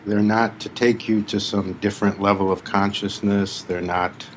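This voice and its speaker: A man leading a live guided meditation as a spiritual teacher, speaking deliberately in short phrases with long pauses. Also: fairly low pitch, level tone, slight background noise.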